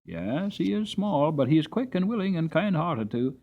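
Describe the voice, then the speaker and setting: Man reading as a good-hearted working coachman, earnest, lively pitch, tinny sound. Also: moderate pace. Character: kind, hardworking male coachman. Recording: tinny narrowband recording.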